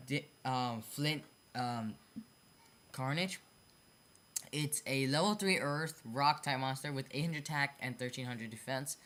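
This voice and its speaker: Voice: medium pitch, sharp tone. Someone giving a casual, off-the-cuff explanation of card game designs, often repeating and correcting themselves and apologizing for mistakes. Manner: repetitive, self-correcting, stumbles over phrasing; apologetic about own mistakes. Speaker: hobby card game video creator.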